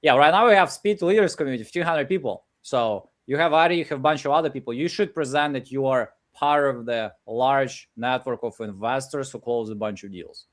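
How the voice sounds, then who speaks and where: A man with a Ukrainian accent gives an energetic live coaching pep talk over a video call, peppering his English with fillers. His voice sits at an ordinary middle pitch. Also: a little fast, few pauses. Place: home office in Ukraine.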